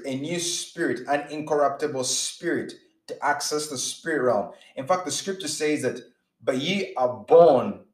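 A man's voice: mid-range voice, echoey room, rough recording. A man giving a sermon-style teaching in an earnest way, often repeating words and restarting as he builds his points. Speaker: preacher.

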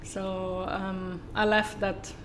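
A woman's voice: medium pitch, flat delivery, extremely slow, background noise. A woman from southern Germany with a German accent, speaking as a guest in a casual interview and talking hesitantly with many fillers and restarts. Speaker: guest, sustainability consultant.

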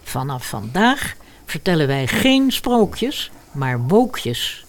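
Slow, very animated female announcer. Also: deep voice, a little hiss or hum. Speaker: host, announcer. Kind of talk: scripted audio drama introduction.

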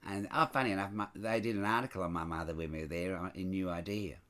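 A man who grew up in Sydney, an entertainer telling stories in an interview in a lively, expressive way, with frequent asides. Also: low-pitched, fast, clean tone. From Scotland, raised in Sydney. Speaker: stage entertainer and show producer. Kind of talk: chatty interview storytelling.

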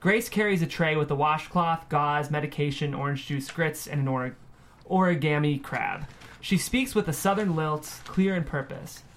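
Higher-pitched man reading screenplay directions. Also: normal speed, fairly bright tone, a little hiss or hum. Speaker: stage-direction narrator at a table read. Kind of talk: scripted narration of screenplay directions.

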